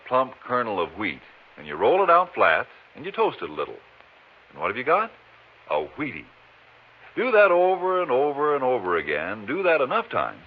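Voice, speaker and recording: A man's voice: medium pitch, husky, phone-quality sound. A man delivering a chatty, folksy scripted advertisement on 1950s radio. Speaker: commercial pitchman. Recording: thin, band-limited old radio recording.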